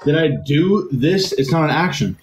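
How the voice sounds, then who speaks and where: A young man in a casual livestream chat, teasing and playful. His pitch swings widely as he talks, and a faint hiss sits behind his voice from the phone camera. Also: animated, normal speed, poor audio. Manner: teasing, playful and loose with swearing. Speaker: livestream host. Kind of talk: casual live chat game. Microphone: phone camera on a live stream.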